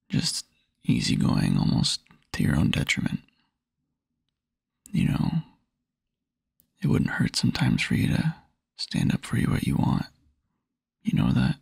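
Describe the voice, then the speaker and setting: A young boyfriend, acted by a man murmurs right up at the microphone in an intimate ASMR roleplay. His husky, breathy voice crackles with heavy fry and moves slowly. He sounds tender, wistful and quietly lovesick. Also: fairly high pitch, dry recording, clean studio sound, full character voice. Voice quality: breathy, husky, near-whisper. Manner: soft, halting, intimate murmur with small chuckles. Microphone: close microphone, dry.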